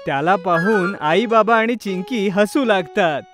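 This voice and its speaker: A woman tells a children's story as a scripted narrator. Her pitch is middling, and music runs behind her voice. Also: unhurried.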